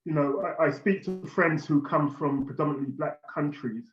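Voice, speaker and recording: A man's voice: a little high, normal speed. A British man speaking earnestly on a panel, repeating words as he stresses his points. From United Kingdom. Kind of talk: panel discussion. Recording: echoey, muffled room sound.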